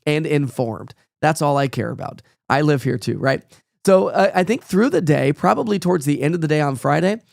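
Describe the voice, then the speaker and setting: A weatherman talks through his forecast in a folksy, rambling way, leaning on plenty of fillers. His somewhat high voice has a gravelly, croaky edge from a cold that is taking his voice. He sounds close to the mic in a dry, dead room. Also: darkish tone, no echo. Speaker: meteorologist. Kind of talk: casual live weather forecast.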